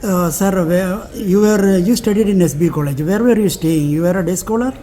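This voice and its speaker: A man interviews a guest with halting, hesitant questions. His voice sits somewhat high for a man. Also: warm tone.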